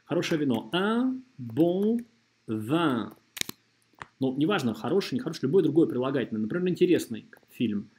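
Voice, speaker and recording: A man's voice: fairly high pitch, very animated, normal speed, mellow, slight background noise. A man giving a language lesson as a teacher, explaining didactically and carefully modelling pronunciation. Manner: didactic, drawing out model phrases syllable by syllable. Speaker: French teacher. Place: voice-over on a screen recording.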